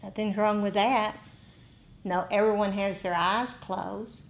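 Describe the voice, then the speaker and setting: An American woman speaks at an unhurried, slow pace with a mid-range pitch. She teaches meditation informally to a group, talking conversationally in short phrases with frequent pauses. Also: steady tone. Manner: conversational, pausing often between short phrases. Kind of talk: informal dharma talk to a group.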